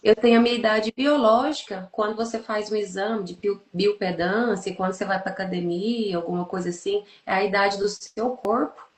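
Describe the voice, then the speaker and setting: A woman's voice: mid-range voice, steady tone, moderate pace, hardly any pauses. A Brazilian woman in her thirties explaining things didactically in a podcast conversation, listing and repeating points for emphasis. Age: 30s. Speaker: therapist, podcast host. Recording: echoey, roomy sound.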